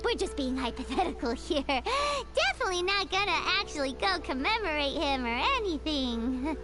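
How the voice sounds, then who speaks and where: A woman's voice: sits high, sing-song, moderate pace. A woman voicing a tiny, bubbly female fairy companion in a video game, blurting things out. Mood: chirpy. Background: game music.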